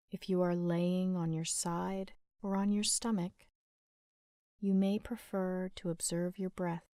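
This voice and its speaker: Soft woman doing a sleep meditation, super slow n even, lots of pauses, soothing. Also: on the low side, no room sound, studio quality. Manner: gentle, soothing, unhurried, with long pauses. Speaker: meditation guide.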